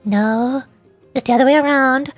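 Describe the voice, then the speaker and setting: A woman with a small, squeaky, girlish voice, chatting casually and earnestly to viewers on a livestream. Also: fairly even intonation, normal speed, no room sound, faint music behind. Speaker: streamer and VTuber, voice actor.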